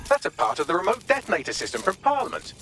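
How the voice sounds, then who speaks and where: Brisk, sardonic British AI assistant. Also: male voice, high-pitched, huge pitch swings, noisy background. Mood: brisk, informative. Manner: dry sarcasm, breezy and articulate. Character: witty, sardonic male artificial intelligence assistant. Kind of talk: video game voice acting.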